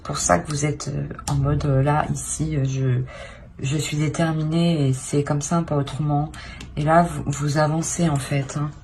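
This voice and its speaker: Woman reading tarot cards, low voice, lots of euh and restarts, faint hiss behind. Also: level tone, moderate pace. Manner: hesitant, many "euh" and restarts, soft-spoken asides. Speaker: tarot reader. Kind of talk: casual tarot reading to camera.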